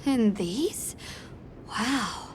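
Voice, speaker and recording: An eager, caring young companion in a game cutscene. Her voice is high and very animated in pitch, with clear ambient noise behind it. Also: extremely slow. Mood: concerned, curious. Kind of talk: video game cutscene acting.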